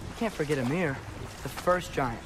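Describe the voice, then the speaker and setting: A man's voice: a little high, moderate pace, background noise, natural voice. A young man playing a curious, persistent teenage boy in a video game. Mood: eager, persistent. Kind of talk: video game dramatic acting.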